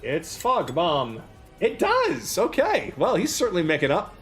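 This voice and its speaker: A man with a slightly high voice narrates a tabletop fantasy game as its game master. His delivery is casual and descriptive, with music faintly behind him. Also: huge pitch swings, measured pace, a bit bright.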